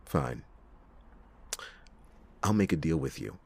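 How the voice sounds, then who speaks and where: A man playing a cynical, reluctant, hard-drinking private detective in a noir ASMR roleplay, with a husky, gravelly voice and sardonic, theatrical delivery. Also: extremely low pitch, sing-song, darkish tone, full character voice. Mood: exasperated, sardonic. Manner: sardonic, theatrical, halting speech with drawn-out emphasis. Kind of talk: ASMR detective roleplay, acted monologue to listener.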